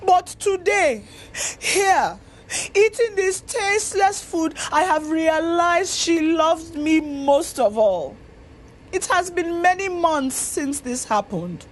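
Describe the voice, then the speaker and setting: A woman's voice: very high-pitched, expressive, pitched above the performer's own voice, heavily acted. Woman acting a tearful, remorseful old father, drawn out. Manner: tearful, emphatic, drawn-out confession. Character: sorrowful, remorseful old father confessing his mistake.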